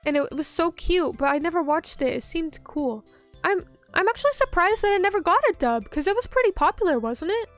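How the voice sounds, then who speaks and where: A woman's voice: extremely high pitch, animated, a little fast, soft background music. A woman streamer chatting casually with her viewers, reading their messages aloud and rambling with lots of "like" and "um.". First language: English. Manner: rambling, many fillers like "like" and "um".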